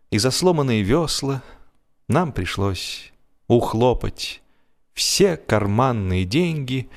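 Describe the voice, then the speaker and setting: A man reading a humorous story as scripted audiobook narration, expressively, drawing out the ends of his phrases. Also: lowish voice.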